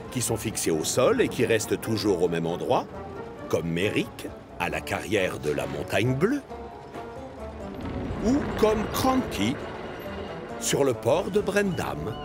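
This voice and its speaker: A man narrating a children's cartoon as a friendly, expressive storyteller. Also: on the low side, slow pace, music in the background, full character voice. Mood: storytelling.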